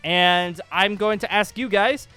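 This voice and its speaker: Bright, high-pitched excitable man with music behind. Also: unhurried, clean tone. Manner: excitable, rambling, emphatic. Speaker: YouTube channel host. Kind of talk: casual gaming channel update. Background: background music.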